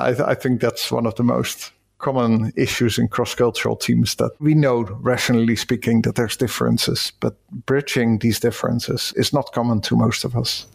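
A man's voice: medium pitch, creaky. A Dutch man with a Dutch accent, a cross-cultural consultant explaining ideas thoughtfully in a podcast interview. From Netherlands.

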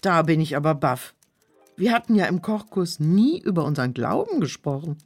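An actress playing an earnest, emotional woman with an expressive, homely manner in a German children's audio drama. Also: low-pitched, moderate pace, breathy edge, faint music behind, heavily acted. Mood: amazed. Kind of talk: German children's radio play acting.

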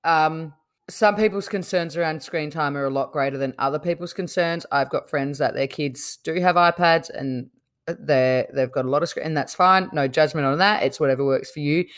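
A woman, co-hosting a casual chat in a reflective way with plenty of fillers. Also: normal speed. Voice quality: deep voice. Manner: chatty, reflective, lots of fillers. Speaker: podcast co-host.

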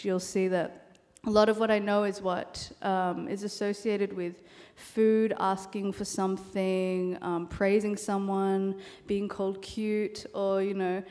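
Woman writer, soft and thoughtful, lots of ums, mellow voice, big echoey hall. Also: mid-range voice. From Australia. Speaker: novelist. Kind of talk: panel discussion and reading from her novel. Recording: long hall reverb.

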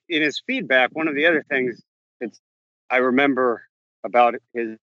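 A man's voice: medium pitch, a bit bright, dry recording, dull audio. A man answering interview questions as a novelist, often stopping and restarting his sentences as he paces around outdoors. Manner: halting, frequent restarts and self-corrections. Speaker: novelist guest. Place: walking around outdoors on a campus.

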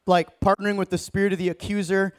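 A man in his thirties preaching a sermon with energy, joking with the crowd and shouting for emphasis. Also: sits high, little pitch movement, fast talker. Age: 30s.

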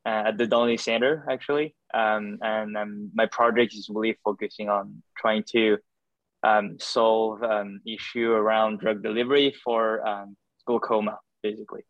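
A man's voice: fairly low pitch, flat delivery, normal speed, no echo, muffled recording. A man, a doctoral student answering interview questions in a hesitant way, full of fillers and restarts. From France. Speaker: podcast guest, doctoral student.

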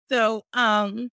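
A middle-aged woman co-hosting a casual podcast, expressive and animated, speaking warmly about faith and community. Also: on the high side, no room sound, clean studio sound. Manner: warm, expressive, talks about faith and blessings; frequent fillers and restarts, animated storytelling. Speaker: podcast co-host.

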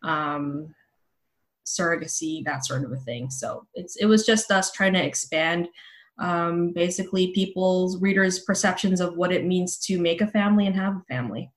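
A woman's voice: fairly low pitch, normal speed. A woman in her thirties, a journalist guest on a podcast, speaking in a direct, matter-of-fact way. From Philippines, raised in New Zealand. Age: 30s. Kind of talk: casual interview. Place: remote call.

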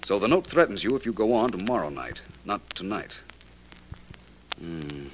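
An actor playing a suave, quick-witted private detective in an old radio drama, urbane and wry. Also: male voice, on the low side, very animated. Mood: grave, measured. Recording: faintly noisy old radio recording.

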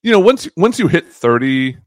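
A man in his thirties chatting casually as a podcast guest, halting and rambling, with a joking streak. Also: fairly high pitch, very animated, no room sound, studio quality. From born in the Bahamas. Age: 30s. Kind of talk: casual chat.

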